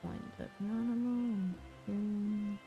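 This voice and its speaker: A woman chatting casually as she streams a game, halting and muttering asides to herself, sometimes reading dialogue aloud. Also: medium pitch, steady tone, muffled recording. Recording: music behind the voice. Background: background music.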